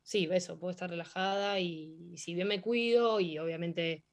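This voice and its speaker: Young Argentine woman interviewee, slightly low voice, halting delivery with lots of "em" and restarts. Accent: Argentine Spanish. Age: young adult. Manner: hesitant, many fillers and restarts. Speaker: interviewee, hockey player. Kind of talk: casual interview answers.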